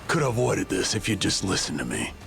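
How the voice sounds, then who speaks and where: An actor playing a bitter, hard-drinking, middle-aged father and former treasure hunter in a video game, gruff and curt with a gravelly voice. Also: low voice, big pitch swings, fast, a bit bright, soft background music, heavily acted. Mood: angry, scolding. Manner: gruff, curt, swearing, dismissive. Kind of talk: dramatic video game voice acting.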